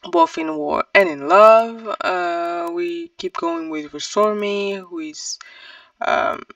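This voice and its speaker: Very creaky-voiced woman reviewing books. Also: mid-range voice, big pitch swings, takes it slowly, fairly bright tone, no room sound, recorded from a distance. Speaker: book reviewer and vlogger. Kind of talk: casual book review to camera.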